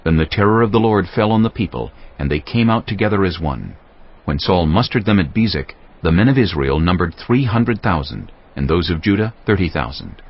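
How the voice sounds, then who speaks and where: Very deep, husky male scripture narrator. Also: expressive, fairly quick. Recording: slightly muffled studio sound.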